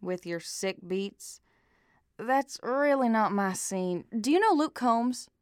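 A young woman acting in an audio drama as a casual, deadpan new co-worker. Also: on the high side, slow pace, smooth, no echo, studio quality. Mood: dry, unimpressed. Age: young adult. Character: dry, unimpressed young woman new hire who loves country. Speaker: actor in a student audio drama.

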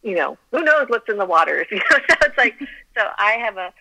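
A woman's voice: mid-range voice, sing-song, brisk, vocal fry, pushed and bright. A middle-aged woman from Colorado whose first language was Chinese, chatting as a podcast guest with a giggly, self-deprecating manner. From Colorado, USA. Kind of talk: casual interview chat. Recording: phone line.

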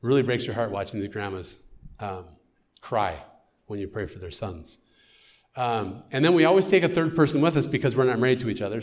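A middle-aged American man, a missionary, giving an earnest storytelling testimony in church. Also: mid-range voice. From United States.

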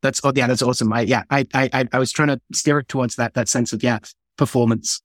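A man speaks hesitantly in conversation and repeats himself as he searches for words. His voice sits at an ordinary middle pitch. The recording is dry and close. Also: steady tone, fast, no room sound. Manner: hesitant, stammering restarts.

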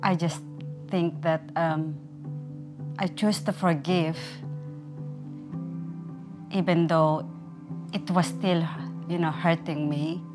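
Soft-spoken woman over music. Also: sits low, steady tone. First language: Tagalog. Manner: halting, soft-spoken, earnest. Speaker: care worker sharing a testimony. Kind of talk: recorded personal testimony.

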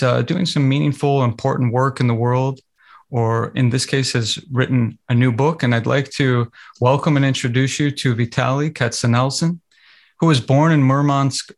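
A man hosting a podcast, introducing his guest from a script and then asking questions. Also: mid-range voice. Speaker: podcast host.

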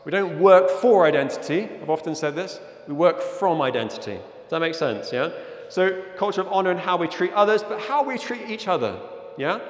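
A man's voice: sits high, expressive, moderate pace. A middle-aged man from Zimbabwe giving an informal, earnest sermon as a church leader, often checking that listeners agree. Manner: earnest, conversational, often seeking agreement with "yeah?". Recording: echoey hall with long reverb.

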